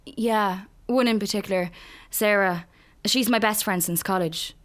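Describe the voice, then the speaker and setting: A young actress with an Irish accent playing a guarded, wry, depressed woman in therapy, hesitant and deadpan in a naturalistic radio drama. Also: mid-range voice, unhurried, close to an everyday voice. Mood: low, reluctant. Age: young adult.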